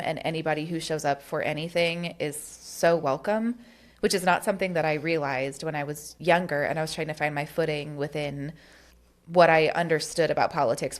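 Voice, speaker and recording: A woman co-hosting a podcast, talking candidly and earnestly about getting involved in politics, with casual swearing. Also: low-pitched. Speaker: podcast co-host.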